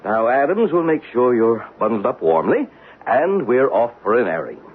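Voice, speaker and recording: Husky, deferential manservant. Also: male voice, mid-range voice, sing-song, moderate pace. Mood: coaxing. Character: deferential, coaxing male servant to a rich old man. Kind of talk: old-time radio drama acting. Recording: lo-fi narrow-band radio broadcast.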